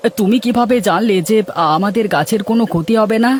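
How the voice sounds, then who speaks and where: A man's voice: high voice, fast, a little hiss or hum, close to an everyday voice. A man voicing village men in a Bengali cartoon moral tale, with theatrical, emphatic delivery. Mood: worried, exasperated. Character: village men of a moral tale, from scheming fruit seller to distraught farmer. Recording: music behind the voice.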